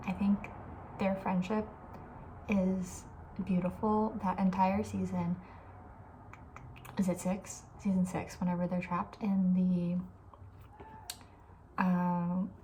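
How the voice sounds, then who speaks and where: A young woman with a soft, breathy voice, often near a whisper, chatting casually and with playful opinions in an ASMR style. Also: on the low side, slight background noise, low-quality recording. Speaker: ASMR video creator.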